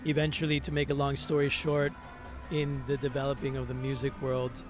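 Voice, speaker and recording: A man from Venezuela, a native Spanish speaker, telling his story as an interview guest in a reflective, halting, conversational way, repeating words as he searches. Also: mid-range voice, completely flat delivery. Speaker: interview guest, musician and hospitality entrepreneur. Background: music.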